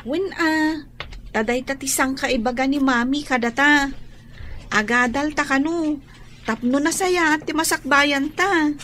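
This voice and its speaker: A woman's voice: high-pitched, unhurried, sharp tone, slight background noise. A woman acting as a devoted, protective schoolgirl in an Ilocano radio drama. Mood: tender, protective. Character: devoted caring schoolgirl guiding her blind sibling.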